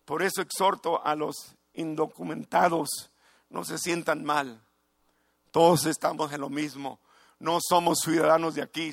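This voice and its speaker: Man, pastor, sermon, slow and deliberate, dry close sound. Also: on the high side, gravelly, fairly bright tone, dry recording. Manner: fervent preaching, rising to exclamations of praise.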